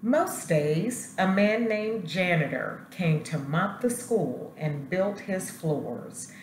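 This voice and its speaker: A woman with a low voice reads to children as a school principal. She takes her time and tells the story expressively, stopping for asides. The room echoes, with faint noise behind her. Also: animated, takes it slowly. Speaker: elementary school principal reading aloud to students. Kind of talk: children's picture book read-aloud with asides. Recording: roomy echo, faint background noise.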